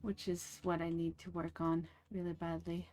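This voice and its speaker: A woman's voice: fairly low pitch, completely flat delivery, darkish tone, soft background music. A young woman whose first language is French, chatting casually and rambling with fillers while she streams a game. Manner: slow, rambling, many fillers and restarts.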